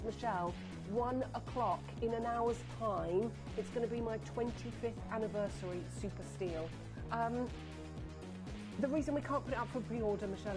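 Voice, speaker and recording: Excitable shopping-channel host with sniffles. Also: female voice, on the high side. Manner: excitable, chatty, fast patter of buyer names. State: sniffly with a head cold. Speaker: TV shopping presenter. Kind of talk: live on-air sales pitch. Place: TV studio.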